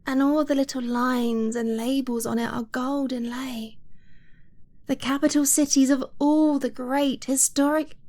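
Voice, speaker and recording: A woman voicing an affectionate young dragon-blooded girlfriend in an ASMR romance roleplay, soft and breathy, often near a whisper, tender and confiding. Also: high voice, fairly even intonation. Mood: playful, bragging. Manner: tender, unhurried, giggly, confiding.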